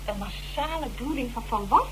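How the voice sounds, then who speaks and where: A woman's voice: high voice, expressive, normal speed. An actress in a Dutch radio drama playing a naive, inquisitive young woman who talks in puzzled questions. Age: young adult. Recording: noisy, humming old radio recording.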